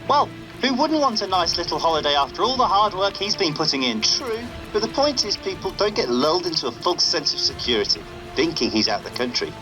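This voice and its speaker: Lively, cheeky underground radio broadcaster, music behind. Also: male voice, on the high side, low-quality recording. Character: cheeky young male underground radio broadcaster, upbeat and wry.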